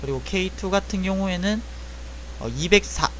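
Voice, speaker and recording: A man's voice: high-pitched, normal speed, a little hiss or hum, low-quality recording. A young man casually explaining a repair job, thinking aloud and correcting himself as he goes. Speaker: airsoft gun technician. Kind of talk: casual spoken tutorial.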